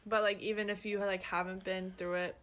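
A woman's voice: mid-range voice, little pitch movement, fairly quick, fairly bright tone. A young woman from Canada co-hosting a podcast, chatting nervously with many "um"s and "like"s. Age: young adult. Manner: nervous, giggly, heavy use of like and um. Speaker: podcast co-host. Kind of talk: casual chat.

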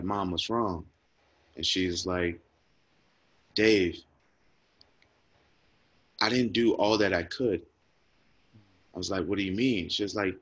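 A man with a fairly low voice speaks earnestly and reflectively, close to tears at times. His speech comes through dry and close, with no room sound. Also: fairly bright tone, slightly rough, dry recording. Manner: earnest, reflective, repeats words when moved. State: emotional, near tears. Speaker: guest speaker. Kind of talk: emotional personal storytelling.

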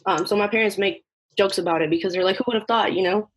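A woman's voice: medium pitch, fairly even intonation, quick pace, breathy edge, rough recording. A young woman from northern Mexico, a Spanish speaker, telling personal stories casually with lots of filler "like" and acted-out dialogue. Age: young adult. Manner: informal, heavy use of "like", quoting dialogue. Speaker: podcast participant.

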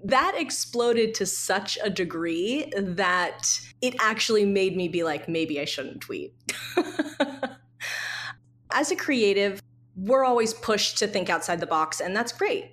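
A woman's voice: mid-range voice, a little slow. Female interview guest, thirties, mid-range voice, candid and reflective. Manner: candid, reflective, lively storytelling. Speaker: guest, associate creative director. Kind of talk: casual interview chat.

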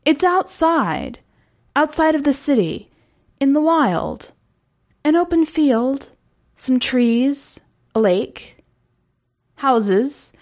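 A woman's voice: sits high, expressive, extremely slow, long pauses, darkish tone, voice pushed up, exaggerated voice. A woman voicing a polite, hesitant explorer lost in a strange city, in an audiobook story. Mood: earnest. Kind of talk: audiobook character dialogue.

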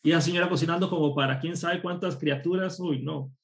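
A man from Costa Rica, a programmer and course instructor, telling lively personal stories as a guest in a casual interview. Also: a little high, fairly quick. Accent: none, a neutral Spanish. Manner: lively anecdotal storytelling.

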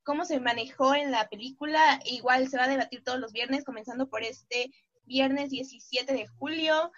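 A woman's voice: high voice, moderate pace, a bit bright, lo-fi sound. A Mexican woman in her twenties, co-hosting a podcast and making an upbeat announcement to listeners. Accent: Mexican Spanish. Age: 20s. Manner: upbeat, inviting. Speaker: podcast co-host.

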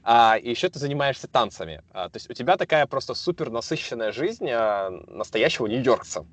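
A man hosting a casual interview, asking long questions slowly with frequent hesitations. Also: mid-range voice, animated. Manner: slow, searching, frequent hesitations. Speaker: interview host.

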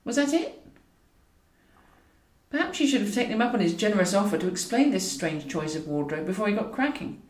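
Female storyteller, wry and animated audiobook read, echoey room sound. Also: mid-range voice, brisk. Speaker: author reading own novel. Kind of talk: scripted audiobook narration of comic fiction. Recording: roomy echo, distant from mic.

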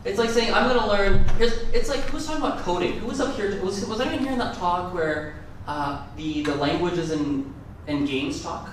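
A man's voice: high-pitched, moderate pace, slight background noise. A man giving a casual, rambling conference talk, often correcting himself mid-sentence. His first language is Gujarati. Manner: chatty, rambling, self-correcting. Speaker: conference speaker. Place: large conference room. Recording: echoey hall, mic from far off.

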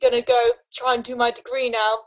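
Chatty young woman, quick restarts. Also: a little high. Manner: animated, talks with frequent restarts and laughs. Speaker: guest. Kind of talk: casual conversation.

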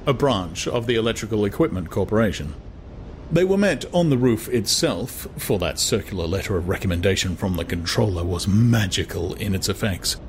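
A man narrating a literary novel as a dramatized audiobook. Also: fairly low pitch. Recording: clear background noise.

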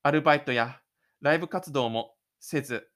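A man's voice: fairly high pitch, moderate pace, a bit bright. A man reading scripted third-person narration for a self-made audio drama.

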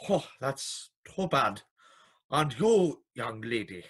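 An actor playing a polite, fussy, apologetic clock repairman in a radio drama, with a husky, hesitant, formal delivery. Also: mid-range voice, sing-song, slow, a bit bright, full character voice. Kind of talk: vintage radio drama acting.

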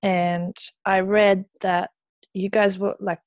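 A woman's voice: mid-range voice, little pitch movement, takes it slowly. A woman in her thirties hosting a casual chat over a remote call, talking easily with frequent ums and light laughs. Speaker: podcast host.

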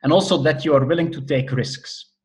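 A man's voice: a little high, brisk, darkish tone. Belgian-born man, thoughtful professor. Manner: thoughtful, explains step by step with frequent "uh". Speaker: professor of entrepreneurship. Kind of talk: podcast interview answers.

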